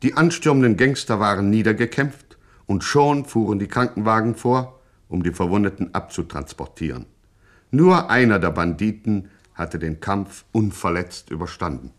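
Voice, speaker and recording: A man's voice: on the low side, normal speed, lightly acted. A man narrating a satirical gangster radio play in a wry, scripted storyteller's tone.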